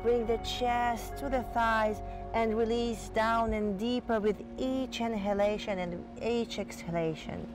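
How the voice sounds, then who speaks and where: A woman teaching yoga, giving slow, soft step-by-step cues on breath and stretching, with a voice. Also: fairly high pitch, fairly even intonation. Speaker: yoga instructor. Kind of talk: guided yoga instruction. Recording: voice over loud music.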